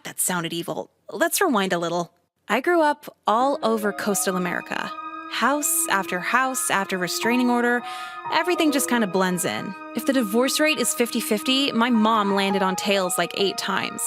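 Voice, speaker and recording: A woman voicing a jaded, foul-mouthed teenage high school girl in a visual novel game, deadpan and caustic. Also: moderate pace, music in the background, lightly acted. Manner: deadpan, cynical, casually caustic. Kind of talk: visual novel game voice acting, monologue and dialogue.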